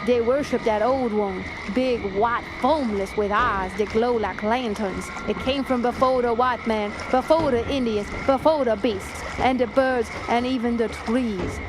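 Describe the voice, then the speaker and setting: An actor playing a fearful, superstitious swamp guide in a radio horror drama, giving dramatic warnings in broken English. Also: male voice, extremely high pitch, steady tone, measured pace, hardly any pauses. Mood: hushed dread. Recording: noisy swamp ambience behind.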